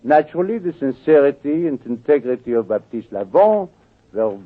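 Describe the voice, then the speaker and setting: Suave French villain, husky, tinny radio. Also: male voice, medium pitch, big pitch swings, moderate pace. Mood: smooth, smug. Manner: oily, mock-polite, hesitant formal phrasing. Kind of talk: 1940s radio drama acting. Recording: muffled, tinny old radio recording.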